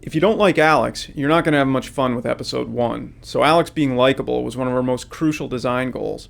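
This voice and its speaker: Male, medium pitch, creaky, scripted game developer commentary. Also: brisk.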